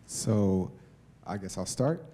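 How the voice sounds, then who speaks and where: Panel guy, kinda deep and warm, hesitant, sounds far from the mic. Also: animated, slow, slight background noise. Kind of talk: panel discussion.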